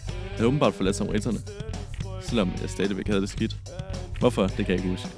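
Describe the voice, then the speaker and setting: A young man tells his own story in scripted podcast narration. His voice sits low with a creaky edge, and music plays clearly behind him. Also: steady tone.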